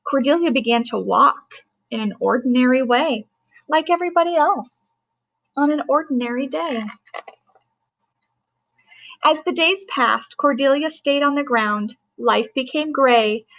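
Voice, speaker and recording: Woman, middle-aged, high-pitched, reads rhyming picture book gently and rhythmically. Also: measured pace, poor audio. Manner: gentle, sing-song rhyming reading. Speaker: teacher reading a bedtime story. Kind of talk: storybook read-aloud.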